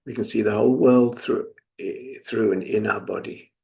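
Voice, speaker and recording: A British man in his seventies from England leading a guided meditation as a teacher, speaking in a reflective, gently instructive manner with pauses. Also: on the low side, steady tone, vocal fry. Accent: British English. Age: 70s.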